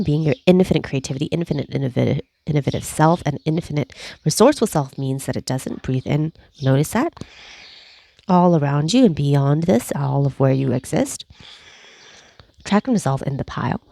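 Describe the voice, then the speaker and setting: Low gravelly lady leading a slow soothing energy clearing, lots of breathy sighs. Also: warm tone. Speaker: energy healing facilitator. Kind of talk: guided energy clearing session.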